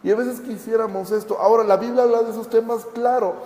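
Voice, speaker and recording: A man's voice: extremely high pitch, steady tone, fast talker, mellow. Earnest preacher man in an echoey hall. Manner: earnest teaching, rhetorical questions, acts out quoted complaints. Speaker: pastor. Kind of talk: sermon. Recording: echoey hall with long reverb.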